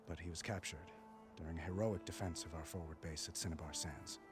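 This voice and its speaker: Male actor for young monarch, video game: low voice, formal, gracious, earnest. Music behind. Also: steady tone, natural voice. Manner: formal, courteous, measured. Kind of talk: dramatic video game dialogue.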